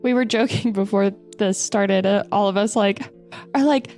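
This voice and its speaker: A woman's voice: medium pitch, normal speed, a little mellow, soft background music. A woman playing in a tabletop game, chatting thoughtfully and casually, with fillers. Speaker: player. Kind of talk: casual chat at the gaming table.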